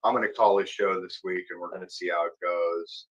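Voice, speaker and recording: A man's voice: low voice, fairly even intonation, fairly quick, fairly bright tone, husky, dull audio. A man in a casual panel discussion, speaking informally and emphatically, often repeating himself. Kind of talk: casual debate chat.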